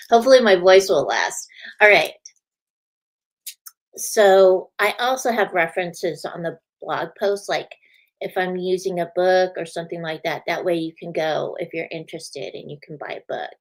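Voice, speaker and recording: A woman's voice: fairly low pitch, moderate pace. A woman hosting a spiritual show in a casual chat, greeting viewers by name and laughing often, her voice a little scratchy tonight. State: slightly scratchy voice. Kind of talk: casual live-stream chat.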